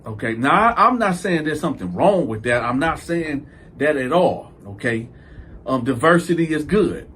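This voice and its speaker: Male vlog storyteller with a fairly high pitch; lively and animated, slips into character voices. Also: moderate pace, slight background noise. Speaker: storytime channel host.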